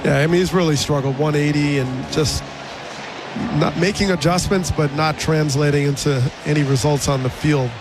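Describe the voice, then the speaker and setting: A man giving relaxed, conversational color commentary on a radio baseball broadcast. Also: fairly high pitch, moderate pace, music in the background.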